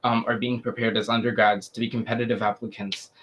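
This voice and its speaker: A man's voice: fairly low pitch, flat delivery, fast, a bit bright, poor audio. A young man from Syria answering committee questions, earnest and hesitant, with many fillers. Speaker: student applicant.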